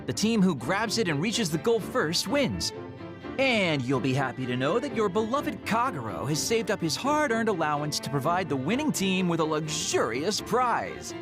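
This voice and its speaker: An actress playing a peppy, showy military training organizer in a video game. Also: female voice, fairly low pitch, big pitch swings, moderate pace, over music. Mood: upbeat, announcing. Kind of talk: English video game dub acting.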